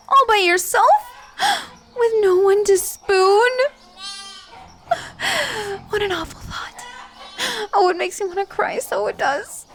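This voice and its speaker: A bubbly female titan head, voiced by a woman, fussing and gushing over a tiny stranger in an ASMR roleplay. Her voice sits very high and swoops lively through every line. She talks in a prim, doting way. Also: heavily acted. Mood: fretful.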